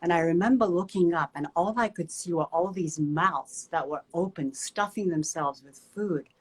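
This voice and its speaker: Soft-spoken woman. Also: lowish voice, breathy edge, rough recording. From Japan. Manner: gentle, reflective, pauses with many fillers. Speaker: guest movement teacher. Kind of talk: personal storytelling.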